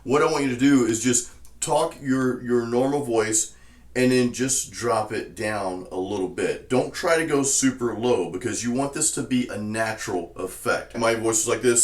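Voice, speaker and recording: A man with a naturally low voice teaching death metal vocal technique in a relaxed, explanatory way, often saying "you know". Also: unhurried. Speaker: vocal coach. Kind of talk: casual spoken tutorial. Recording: roomy echo.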